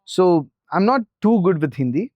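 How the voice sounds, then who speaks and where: A podcast guest speaks casually about running a business. The voice is mid-pitched and recorded dry, without echo. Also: very animated, moderate pace, highs cut off. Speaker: food truck business co-founder, podcast guest. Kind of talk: casual chat.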